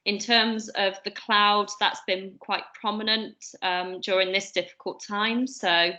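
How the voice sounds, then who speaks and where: A woman's voice: medium pitch, fairly even intonation, unhurried, pushed and bright. A woman hosting a business interview, chatty with lots of fillers. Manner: chatty, many fillers and hedges. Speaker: host, recruiter.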